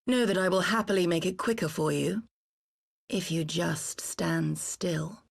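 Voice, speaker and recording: A woman's voice: lowish voice, unhurried, creaky, breathy edge, exaggerated voice. A woman playing a playful, menacing huntress villain in a video game cutscene, with a mocking, theatrical drawl. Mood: gleeful, menacing.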